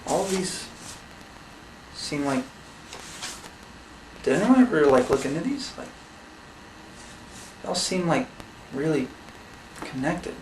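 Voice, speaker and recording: A man plays a doubtful presenter in a mock facts segment. He is slow, hesitant and deadpan, a little high in pitch, in an echoey room. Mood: puzzled. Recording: echoey room with faint hiss.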